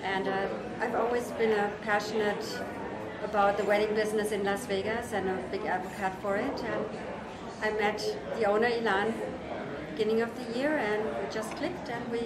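German lady, upbeat chapel owner type, roomy echo, loud party crowd behind her. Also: mid-range voice, fairly even intonation, moderate pace. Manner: upbeat, promotional. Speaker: wedding chapel partner. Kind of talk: on-camera interview. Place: indoor wedding chapel. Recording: echoey room with loud crowd.

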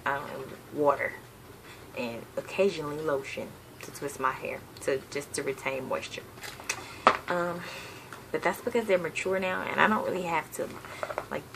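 A young woman from the South, chatting casually on a home vlog, rambling with lots of ums and self-corrections. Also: low-pitched. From Southern United States. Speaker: hair vlogger. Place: bedroom, seated on a patterned couch, indoors. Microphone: webcam at desk distance. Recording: gravelly voice with clear background hiss.

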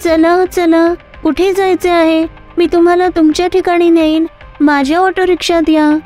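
A woman's voice: level tone, normal speed, a little mellow, faint music behind. A woman voicing a young pregnant rickshaw driver who is meek and pleading, in a cartoon story. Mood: calling out. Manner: pleading, high-pitched.

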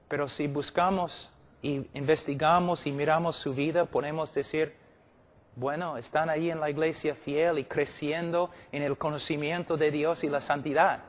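A man's voice: on the high side, fairly even intonation, normal speed. A middle-aged man preaching a sermon at a conference, emphatic and repeating phrases for stress, telling illustrative stories. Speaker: preacher teaching at a church conference. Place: large indoor meeting hall, speaking to a seated audience. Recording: echoey hall with long reverb.

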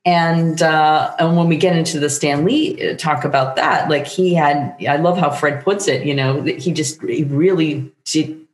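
A middle-aged woman talking casually as an interview guest, animated, with meandering, halting phrasing. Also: low-pitched. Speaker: guest playwright. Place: book-lined room at home, indoors. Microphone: shared desktop microphone on video call. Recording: roomy home recording.

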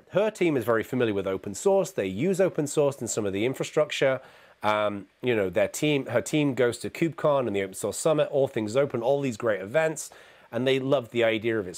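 A middle-aged man giving a conversational conference keynote from his home office, his voice gravelly and hoarse from a cold. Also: medium pitch. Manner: energetic, conversational, asks "okay?" often. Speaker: keynote speaker. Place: home office with neon sign.

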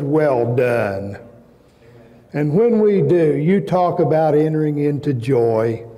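A man in his sixties preaching a sermon as a pastor, with measured, emphatic phrasing, pauses and repetition. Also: fairly high pitch, muffled recording. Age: 60s. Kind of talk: sermon with personal anecdotes. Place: large church sanctuary, indoors at a pulpit. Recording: reverberant church hall.

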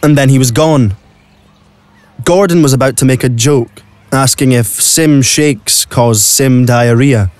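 A man's voice: mid-range voice, darkish tone. A man narrating a scripted story for an audio play.

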